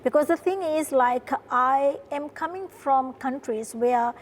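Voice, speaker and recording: A woman's voice: sits high, measured pace, slight background noise. A woman from Fiji telling her story in an earnest interview, often adding "right?" as she talks. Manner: earnest, tag questions with "right?". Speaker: guest, production company founder. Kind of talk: interview conversation. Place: studio.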